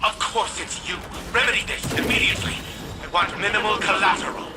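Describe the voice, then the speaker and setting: An actor playing a demanding, haughty artificial mind in a video game, speaking in curt commands. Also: male voice, sits high, animated, a little fast. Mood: irritated. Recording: very loud music over the voice.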